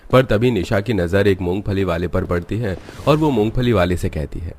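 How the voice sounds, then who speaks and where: A man's voice: sits low, expressive, normal speed, a little mellow, a little hiss or hum. A man narrating a scripted cartoon story.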